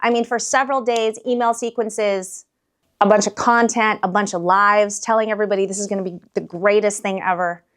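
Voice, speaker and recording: A woman's voice: medium pitch, fairly even intonation, a little fast, a bit bright. A woman hosting a business show, speaking upbeat and self-deprecating, often laughing as she tells stories and teaching through metaphors. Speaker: beauty business owner and show host. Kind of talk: business talk-show monologue.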